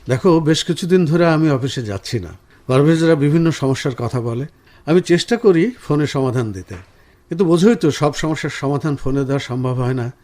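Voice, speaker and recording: A man's voice: on the high side, fairly quick, a little mellow, lightly acted. A man acting a caring, reassuring husband with a professional job in a Bengali radio drama. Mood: earnest.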